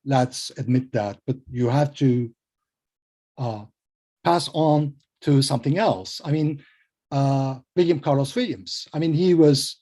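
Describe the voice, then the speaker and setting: Turkish-accented male translator on a panel; mellow, halting, modest delivery. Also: medium pitch. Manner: hesitant, many fillers and restarts, self-deprecating. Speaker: translator and poet guest. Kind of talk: conversational panel interview.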